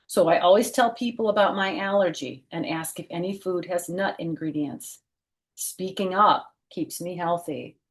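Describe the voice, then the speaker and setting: Lower-pitched woman reading a children's storytime. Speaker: storyteller reading a children's book, former teacher. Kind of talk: read-aloud storytime with personal asides.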